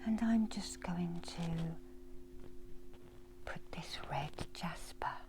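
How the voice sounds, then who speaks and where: Very slow whispering middle-aged woman, ASMR. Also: lowish voice, background noise. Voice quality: breathy unvoiced whisper. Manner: soothing, unhurried, instructing softly. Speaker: reiki healer and meditation guide. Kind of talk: whispered ASMR guided meditation. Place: small indoor room with folding screens.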